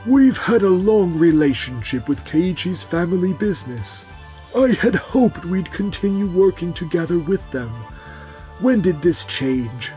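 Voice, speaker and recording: A man voicing a measured, courteous senior company president in a manga dub. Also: high voice, animated, warm tone, soft background music. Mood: measured, thoughtful. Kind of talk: scripted manga dub acting.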